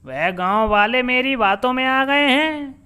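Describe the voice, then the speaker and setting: Man putting on a high-pitched wicked witch. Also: animated, pushed and bright, smooth, exaggerated voice. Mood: gloating. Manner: gloating, drawn-out threats, cackling. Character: wicked cackling witch, scheming and menacing.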